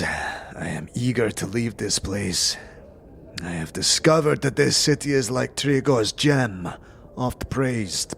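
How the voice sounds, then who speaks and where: A man's voice: fairly low pitch, gravelly, heavily acted. A man voicing a proud, scarred alien soldier who speaks in grave, formal, archaic phrases in a fantasy roleplay. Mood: grim, disdainful. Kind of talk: tabletop-style fantasy roleplay. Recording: faint background noise.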